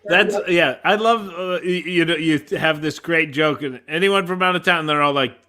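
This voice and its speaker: A man's voice: a little high, moderate pace, pushed and bright. A man who hosts a comedy podcast, chatting casually and dropping in joking asides.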